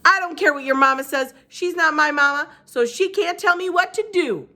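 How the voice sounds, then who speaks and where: A woman's voice: high voice, normal speed, pushed and bright, voice pushed up. A woman voicing a feisty, earnest young girl who talks in a blunt, childlike, folksy way. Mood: angry, defiant.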